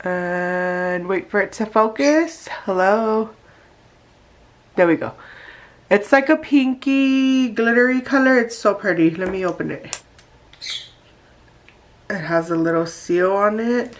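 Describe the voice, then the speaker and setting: Congested, nasal woman unboxing, slow-paced. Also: medium pitch. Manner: chatty, talks straight to viewers. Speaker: beauty vlogger. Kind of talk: casual unboxing vlog.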